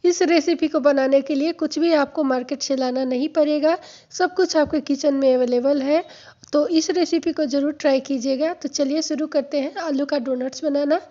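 A woman with a high-pitched, mellow voice talks viewers through a home recipe, patiently repeating each step. Her throat sounds slightly hoarse. The audio is dull and lo-fi, as if from a phone held over a kitchen counter. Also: fairly even intonation, moderate pace. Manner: friendly, instructive, repeats steps. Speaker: home cooking channel host. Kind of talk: step-by-step cooking tutorial.

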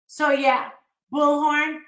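American lady ranting on stream, sharp forceful voice, blunt and sweary, roomy echo. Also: sits high, level tone, slow pace. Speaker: YouTube host. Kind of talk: casual ranting livestream commentary. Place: living room at home, indoors.

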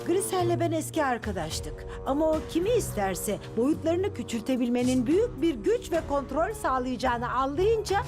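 A woman voicing a kindly, expressive storytelling grandmother in a dubbed children's cartoon. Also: high voice, normal speed, few pauses. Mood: earnest. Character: kindly grandmother, storytelling keeper of a magic locket. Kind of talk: animated children's cartoon dub. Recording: music behind the voice.

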